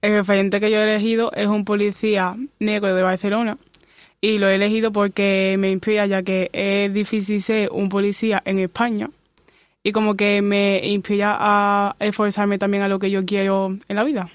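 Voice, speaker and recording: A young woman moderating a podcast panel, reading questions in a steady way. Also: mid-range voice, moderate pace, dry recording. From Spain.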